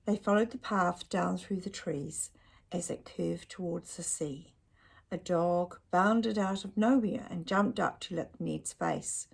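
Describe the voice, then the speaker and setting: A woman's voice: on the low side, slow pace, vocal fry, husky. A woman reading a children's picture book aloud expressively, with chatty asides and questions to young listeners.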